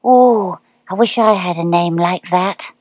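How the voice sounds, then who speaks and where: A woman voicing a small, eager baby barn owl boy in a storybook reading, with a high, wide-eyed manner. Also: measured pace, a little mellow, dry recording, lo-fi sound.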